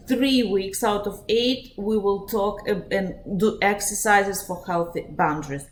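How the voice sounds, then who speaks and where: A woman's voice: medium pitch, darkish tone, rough recording. A woman in her thirties from Russia with a Russian accent, hosting a live online psychology webinar in an earnest, direct way, with frequent "uh" fillers.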